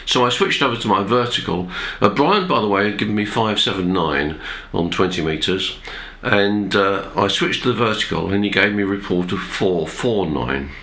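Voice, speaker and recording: A man's voice: on the low side, animated, normal speed, a bit bright. A man with a nasal, congested voice from a cold, presenting amateur radio talk in an informal, hesitant, conversational way. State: has a cold, throat-clearing. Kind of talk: informal explanatory talk to viewers.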